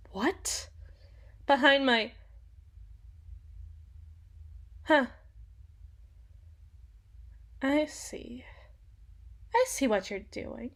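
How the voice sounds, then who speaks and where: Female performer as haughty, possessive empress for audio roleplay. Higher register, slow deliberate phrasing, archaic formal diction, cutting and theatrical. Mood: bitter suspicion. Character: haughty, sharp-tongued young empress, possessive and indignant. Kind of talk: dramatic ASMR audio roleplay monologue.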